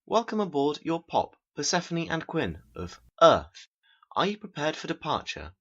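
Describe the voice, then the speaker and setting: A woman's voice: low-pitched, sing-song, normal speed, fairly bright tone, lo-fi sound, heavily acted. A woman voicing a polite, rigid automated announcer aboard an alien space pod in an audio drama. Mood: polite welcome. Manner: scripted, repetitive, formally polite.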